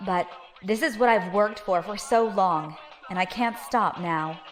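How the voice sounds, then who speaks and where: A woman's voice: medium pitch, fairly bright tone, close to an everyday voice. Woman playing brash young female space pirate. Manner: slangy, casual buddy talk. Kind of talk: audio drama acting.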